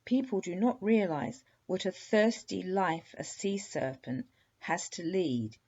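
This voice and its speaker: A woman voicing an indignant, self-pitying sea serpent for a children's story, with a hoarse, drawn-out, growling delivery. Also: lowish voice, lively intonation, warm tone, muffled recording, full character voice. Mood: severe grumbling.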